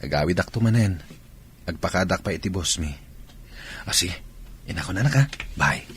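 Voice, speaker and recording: A man's voice: low-pitched, animated, fairly quick, a bit bright, slight background noise. A man acting a military commander and family man in an Ilocano radio drama. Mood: gentle. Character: military camp commander, a husband and father.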